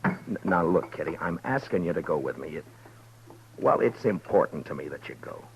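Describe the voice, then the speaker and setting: A man's voice: deep voice, very animated, normal speed, creaky, a little hiss or hum, full character voice, poor audio. A man playing a bashful frontier marshal in a 1950s radio drama, stammering as he tries to ask a woman out. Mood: shy. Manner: hesitant, stammering, shy.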